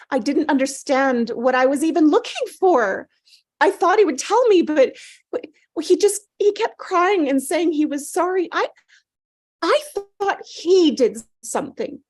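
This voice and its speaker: A woman's voice: high voice, a little mellow. A woman playing a traumatised, apologetic mother in a dramatic table read, faltering and repeating herself. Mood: guilty, faltering.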